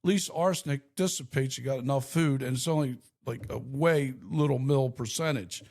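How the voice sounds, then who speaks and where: A middle-aged man with a slightly rough voice, co-hosting a casual podcast and rambling through animated stories. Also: medium pitch, moderate pace. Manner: rambling storyteller, animated and laughing. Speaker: podcast co-host. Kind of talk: casual chat.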